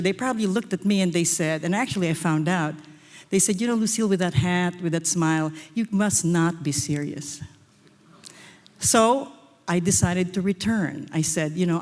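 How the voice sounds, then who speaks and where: A woman's voice: fairly low pitch, a little mellow. A woman, giving a short stage lecture as a design professor and telling personal stories. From Philippines. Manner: storytelling, personal anecdotes. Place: auditorium stage. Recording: reverberant hall.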